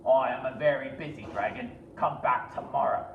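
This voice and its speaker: A reader voicing a boastful male dragon with slow, drawn-out, pompous speech, reading a storybook aloud. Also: medium pitch, creaky, slight background noise, natural voice. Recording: roomy, distant, dull-sounding.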